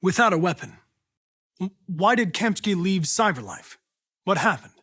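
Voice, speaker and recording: Young male android cop from a video game, polite n determined, precise wording, highish voice that moves around a lot. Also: lively intonation, moderate pace, a bit bright, slightly rough, no room sound, studio quality, heavily acted. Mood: calm, controlled. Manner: formal, precise, controlled diction.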